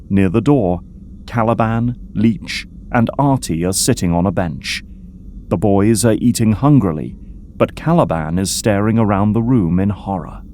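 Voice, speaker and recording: A man narrating an audio drama from a script in measured storytelling prose. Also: lowish voice, darkish tone, a little hiss or hum.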